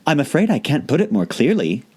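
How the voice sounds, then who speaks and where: A man's voice: fairly high pitch, wildly swooping pitch, a little fast, darkish tone, slightly rough, pitched above the performer's own voice, heavily acted. An earnest young girl from a classic storybook, voiced by a man. The voice is raised and rises and falls dramatically. She is courteous and a little timid, explaining herself with care. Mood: polite, puzzled. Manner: polite, hesitant, carefully explaining. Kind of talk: audiobook character voice.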